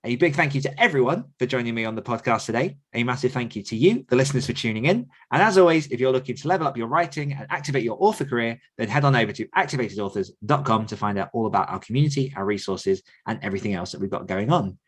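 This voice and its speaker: A man's voice: mid-range voice, expressive, quick pace, hardly any pauses. A man hosting a podcast casually, in an enthusiastic, effusive manner. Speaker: podcast host and author coach.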